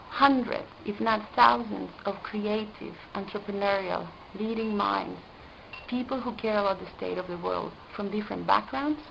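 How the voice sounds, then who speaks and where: A woman from Costa Rica, an environmental specialist, speaking in an earnest, deliberate way and stressing key words. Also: medium pitch, vocal fry, a bit bright, slight background noise, low-quality recording. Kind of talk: prepared video statement.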